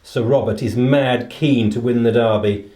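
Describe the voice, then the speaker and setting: A man playing a shrewd, commanding British detective in a radio play, speaking deliberately and with theatrical authority. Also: mid-range voice, fairly even intonation, warm tone, echoey room, natural voice. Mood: musing, deductive. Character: shrewd, commanding male detective, coolly reasoning.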